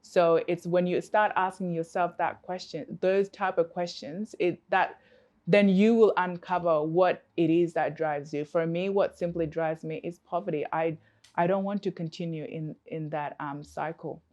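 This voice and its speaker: A woman's voice: on the low side, fairly even intonation, normal speed, a little mellow. A woman from Africa, a coach, answering interview questions in an earnest, reflective way with many fillers and restarts.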